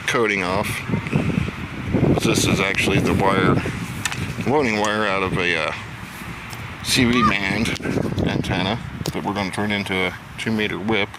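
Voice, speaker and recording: American male hobby vlogger, gravelly and fairly low, unhurried DIY walkthrough, noisy outdoor sound. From Indiana, United States. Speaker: amateur radio hobby vlogger. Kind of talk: casual how-to demonstration.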